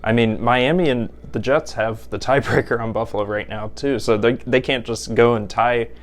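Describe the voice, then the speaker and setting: A man's voice: mid-range voice, steady tone, normal speed. A man hosting a casual football talk show from home, opinionated and stumbling over restarts while keeping his voice down. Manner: stammering restarts, opinionated, keeps voice down for sleeping child. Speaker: host. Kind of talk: casual sports chat. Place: home at night.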